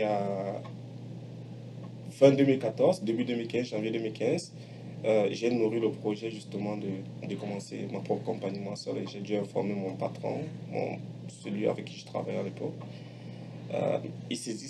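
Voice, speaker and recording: A middle-aged man giving a personal testimonial as an entrepreneur, speaking in a halting, deliberate way and repeating phrases for emphasis. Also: on the low side. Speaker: entrepreneur, electronics technician by training. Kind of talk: personal testimonial, explaining his career. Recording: clearly noisy background ambience.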